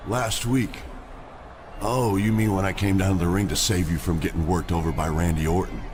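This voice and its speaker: A man's voice: sits low, fairly even intonation, normal speed, a little mellow, slight background noise, lightly acted. A man voicing a cocky wrestler who talks to a rival in a sarcastic, dismissive way.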